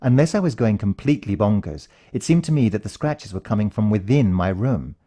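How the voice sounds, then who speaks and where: A man's voice: on the low side, animated, fairly quick, dark tone, slightly rough. A British man narrating a first-person story in a dramatic, suspenseful way. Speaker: audiobook narrator.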